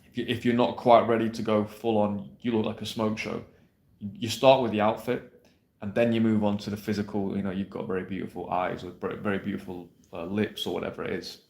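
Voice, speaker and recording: Brash, low-voiced dating coach, roomy sound. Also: male voice, little pitch movement, a little fast, vocal fry, darkish tone. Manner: blunt, brash and emphatic, swears freely, often asks "yeah?". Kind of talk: direct-to-camera coaching monologue. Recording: roomy echo.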